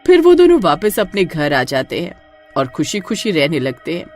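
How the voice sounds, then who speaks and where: Lively woman narrating a horror story. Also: fairly low pitch, normal speed, faint music behind. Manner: expressive storytelling.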